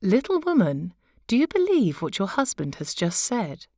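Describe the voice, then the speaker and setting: Woman playing a soft, measured, wise queen. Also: medium pitch, huge pitch swings, no echo, studio quality. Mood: softly coaxing. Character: wise, gentle, beautiful queen, calm and conspiratorial.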